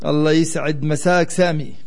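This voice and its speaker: A Palestinian man hosts a live radio call-in show. His voice sits a little high for a man. He talks conversationally to his listeners, in his local Arabic accent. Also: fairly even intonation, a little fast.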